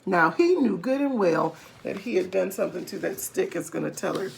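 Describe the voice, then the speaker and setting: A woman reading a children's story aloud in an animated, emphatic way, speaking directly to her listeners. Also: on the low side, moderate pace, vocal fry, a little hiss or hum, low-quality recording. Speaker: storybook reader. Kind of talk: expressive children's read-aloud narration.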